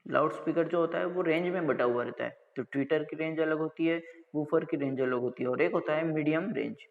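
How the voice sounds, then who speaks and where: गूँजती, दबी रिकॉर्डिंग में राजस्थानी लहजे वाला पुरुष शिक्षक. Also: male voice, fairly high pitch, fairly quick, vocal fry. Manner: didactic, asks and answers own questions, repeats check phrases. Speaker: college lecturer. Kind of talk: explanatory technical lecture. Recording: dull lo-fi audio with long reverb.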